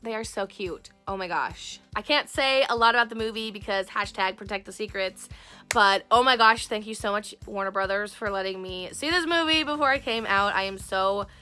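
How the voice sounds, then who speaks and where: A woman vlogger telling a story in a chatty way, gushing and excitable, her voice hoarse from losing it. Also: mid-range voice, normal speed, faint music behind.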